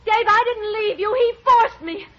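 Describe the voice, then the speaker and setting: An actress playing the defiant, desperate wife of an outlaw in an old radio western, with emotional, accusing delivery. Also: female voice, extremely high pitch, unhurried, fairly bright tone. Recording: muffled, noisy old radio drama recording.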